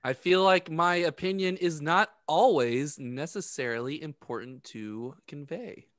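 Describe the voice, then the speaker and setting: Guy, slightly high voice, halting casual podcast talk, really dry sound. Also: no room sound. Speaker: podcast co-host. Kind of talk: casual conversation. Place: home room, indoors. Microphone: close podcast microphone.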